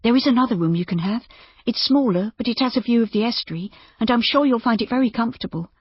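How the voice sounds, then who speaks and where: A narrator plays a sensible young woman who runs an inn, in an audiobook. The voice is lifted high, earnest and reassuring, with an anxious, kindly edge. The sound is close, dry and a little muffled. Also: fast talker, husky, no echo, close to an everyday voice. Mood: gentle, practical. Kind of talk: audiobook character dialogue.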